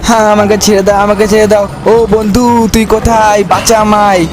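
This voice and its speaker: Guy doing a bickering whiny ox in a puppet tale, high voice, talks fast. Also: level tone, music in the background, close to an everyday voice. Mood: pleading fear. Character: quarrelsome young male ox, selfish about food. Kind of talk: puppet story character acting.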